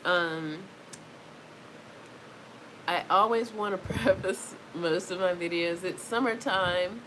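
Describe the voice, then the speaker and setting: A woman with a lowish, congested voice talks unhurriedly, in a loose, rambling vlog manner full of fillers. She is recorded at home, with a faint hum of fans behind her. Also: takes it slowly, fairly bright tone, rough recording. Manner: rambling, chatty, frequent fillers. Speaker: wellness vlogger. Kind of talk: casual talking-to-camera vlog. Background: faint fan noise.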